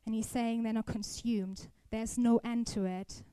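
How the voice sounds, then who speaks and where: A woman's voice: a little high, steady tone, a little slow, dark tone, clear, resonant tone, no room sound. A young woman giving a careful, explanatory sermon to a church congregation, occasionally restarting her words. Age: young adult. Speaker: student speaker at a church service.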